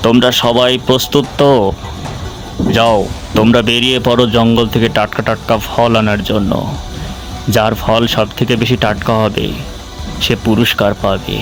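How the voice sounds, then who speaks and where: A man's voice: mid-range voice, little pitch movement, normal speed, pauses often, over music, close to an everyday voice, poor audio. A man voicing an officious royal herald in a cartoon, announcing and giving instructions. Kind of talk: acted cartoon dialogue.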